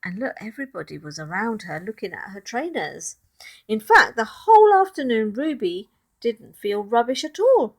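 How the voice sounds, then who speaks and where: A woman reading a children's picture book aloud in expressive narration, with asides to young listeners about the pictures. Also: on the high side, moderate pace. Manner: addresses young listeners, points out pictures, asks questions.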